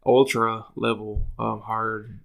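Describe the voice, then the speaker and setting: A man hosting a casual talk podcast, telling anecdotes in a rambling, halting, slangy way. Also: on the low side, little pitch movement, gravelly. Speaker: podcast host.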